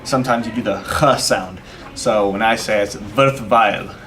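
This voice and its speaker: A man's voice: fairly low pitch, normal speed, fairly bright tone. A man in the cast talking hesitantly, searching for his words. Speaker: cast member being interviewed.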